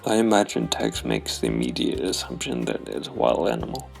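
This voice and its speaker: Super deep gravelly guy narrating a noir tabletop game, voice swings up and down a lot. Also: very animated, a little fast, soft background music. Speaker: host and solo role-player.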